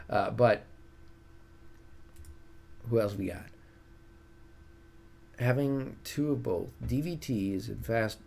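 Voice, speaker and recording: A man with a soothing voice streaming a strategy game, casually chatting with his viewers and joking at his own expense. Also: lowish voice. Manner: chatty, self-mocking, reads and answers chat.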